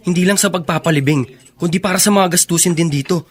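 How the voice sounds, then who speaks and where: A man's voice: sits high, fast talker, natural voice. A man playing a responsible, protective young eldest son in an earnest radio drama. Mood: indignant.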